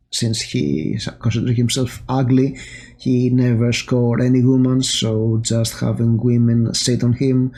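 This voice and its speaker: Guy chatting about a horror story, mellow warm voice, lots of uhs. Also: mid-range voice, creaky, slightly rough. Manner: chatty, filler-heavy retelling. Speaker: host. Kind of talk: casual book review.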